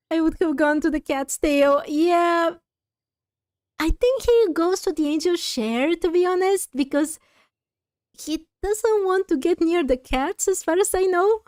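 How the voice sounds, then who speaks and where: A woman's voice: extremely high pitch, lively intonation, slow, a little mellow, no echo, studio quality. A woman giving casual game commentary as she plays, musing aloud and asking questions as she goes. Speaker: game streamer.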